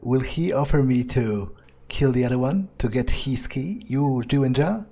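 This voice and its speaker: A man with a husky voice doing in-character commentary on a game, musing aloud to himself. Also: medium pitch, a little slow, warm tone. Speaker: gaming video maker.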